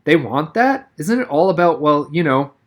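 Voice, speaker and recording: A man's voice: on the high side, big pitch swings, normal speed, voice pushed up. A man voicing an earnest, pushy middle-school boy in a storybook, arguing insistently. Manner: insistent, persuasive.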